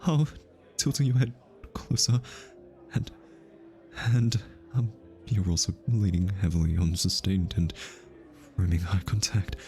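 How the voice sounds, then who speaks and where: A man playing an easily flustered, lovestruck hitman in an intimate ASMR roleplay, halting and stammering as he nervously over-explains. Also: low-pitched, warm tone. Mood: nervous, analytical.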